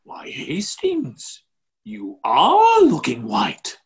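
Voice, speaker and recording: A woman's voice: mid-range voice, wildly swooping pitch, very slow, heavily acted. A woman playing a bumbling, absent-minded duke in a play reading, rambling and repeating words. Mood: startled.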